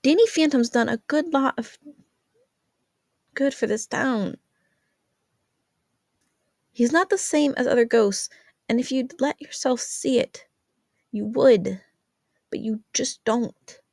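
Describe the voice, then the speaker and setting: A woman's voice: fairly high pitch, takes it slowly, gravelly, pauses often, a little mellow, no echo, studio quality, pitched above the performer's own voice, full character voice. A woman voicing an exasperated, earnest teenage daughter who argues insistently in a fan fiction story. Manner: insistent, pleading. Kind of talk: acted fan fiction reading.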